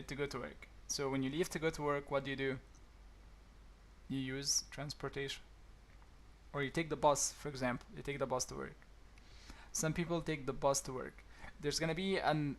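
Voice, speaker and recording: A man from Egypt with an accent between Egyptian and American, chatting casually to viewers about daily routines, with many restarts and fillers. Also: mid-range voice. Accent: Egyptian-influenced English, deliberately between Egyptian and American. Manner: hesitant, many restarts and ums, chuckles often. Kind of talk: casual teaching talk to viewers. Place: home apartment.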